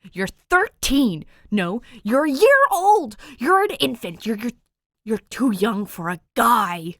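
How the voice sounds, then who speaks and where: A woman voicing an excitable, protective teenage boy in a storybook reading, talking in shouty bursts. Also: fairly high pitch, slow pace, no room sound, pitched above the performer's own voice, full character voice. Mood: outraged. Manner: outraged, shouty.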